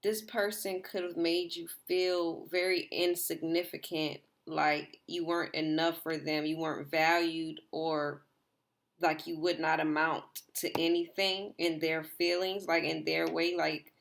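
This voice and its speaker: A slightly hoarse woman talks her viewers through a tarot spread in a loose, rambling way, saying "like" again and again. Her voice sits low and carries a gravelly fry. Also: flat delivery, measured pace. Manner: rambling, repeats herself, filler "like". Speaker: tarot reader. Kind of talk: casual tarot reading to viewers.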